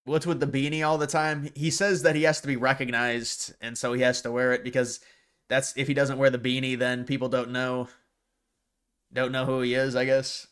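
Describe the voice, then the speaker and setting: A man's voice: medium pitch, level tone, moderate pace, a bit bright, clear, resonant tone. A man hosting a live stream, commenting casually on clips and often stopping to restart and repeat words.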